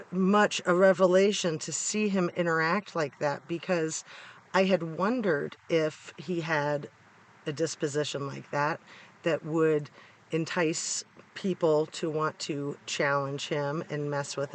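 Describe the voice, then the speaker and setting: Hoarse, higher-pitched middle-aged American man. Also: steady tone, slow pace. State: hoarse, congested from a cold. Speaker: true-crime vlogger. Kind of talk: casual opinion commentary to viewers. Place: inside a parked car.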